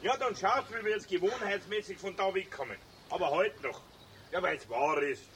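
A man's voice: sits high, normal speed, a bit bright, slight background noise. A man playing an impatient, bossy Bavarian fellow in a radio play, grumbling in exasperation with a Bavarian accent. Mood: exasperated. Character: irritated, impatient, bossy Bavarian day-tripper. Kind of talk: German radio play acting.

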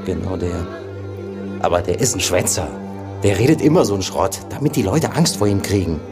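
An actor playing a wary, street-wise police informant in a German radio crime drama, evasive and colloquial. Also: male voice, sits low, animated, brisk, music in the background. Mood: gossiping, dismissive.

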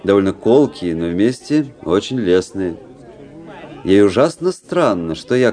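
Guy acting cynical young nobleman officer, deep mellow voice, dry irony, measured radio play narration. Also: natural voice. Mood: smug, ironic. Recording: radio play with faint music behind.

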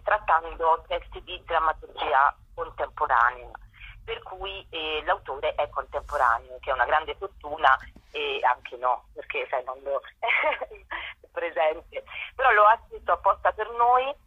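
A woman's voice: low-pitched, breathy edge. A woman, an actress being interviewed by phone as a guest on a radio show. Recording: dull, band-limited telephone line.

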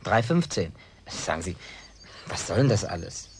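An excitable, swooping boyish fibber. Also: male voice, low-pitched, normal speed, a little hiss or hum. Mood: casual, eager. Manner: animated, insistent, colloquial. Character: Jim, boyish eager man, boastful, evasive, excitable fibber. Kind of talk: German radio drama acting, naturalistic dialogue.

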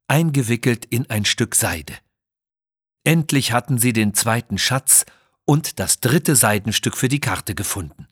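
A man's voice: mid-range voice, a bit bright, breathy edge, dry recording, studio quality. A man narrating a children's adventure story in a scripted, dramatic style. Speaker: audiobook narrator.

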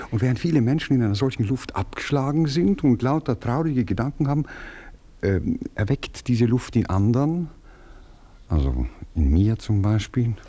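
An actor playing a self-pleased, impatient philandering writer who rambles rhapsodically and hesitantly in a German radio play. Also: male voice, fairly low pitch, mellow, husky, full character voice.

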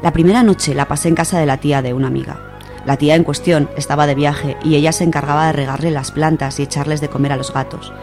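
A woman's voice: low voice, steady tone, fast talker, over music. A woman with an accent from Spain, presenting and reading on a literary radio segment. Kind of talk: radio talk and reading of a first-person short story.

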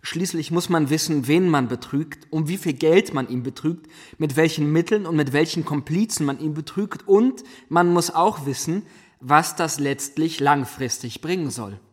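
A man's voice: fairly high pitch, moderate pace, natural voice. An actor playing an unrepentant male swindler who explains himself pedantically in a radio play. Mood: smug lecturing. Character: self-justifying male swindler, lecturing and unrepentant. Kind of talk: German radio play acting. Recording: reverberant hall.

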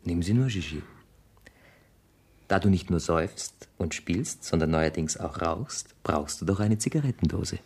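An actor playing a playful, teasing gentleman in a theatrical 1970s German radio drama. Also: sits low, lively intonation, a little slow, slightly rough, full character voice. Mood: mock gallant. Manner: theatrical, bantering. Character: playful, teasing gentleman friend of the family, flustered suitor.

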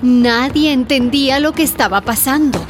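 A woman narrating a radio drama in a measured, dramatic storytelling manner. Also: sits high. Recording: narration over music and crowd noise.

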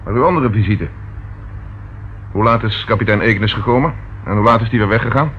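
An actor playing a brisk, commanding and suspicious police inspector in a radio play, giving clipped orders and probing questions. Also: male voice, on the low side, muffled recording, close to an everyday voice. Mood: stern, probing. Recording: noisy old radio drama recording.

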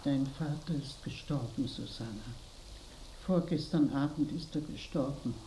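An actress in a radio play playing a sombre messenger of death, speaking plainly and solemnly. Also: female voice, a bass voice, measured pace, dark tone, slight background noise, low-quality recording. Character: sombre bearer of death news to Susanna. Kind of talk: radio drama acting.

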